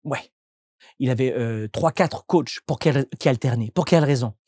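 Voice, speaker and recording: A man's voice: mid-range voice, normal speed, a little mellow, breathy edge, no echo, studio quality. A man from France, a coach and entrepreneur, answering interview questions in a reflective, explanatory way with frequent restarts.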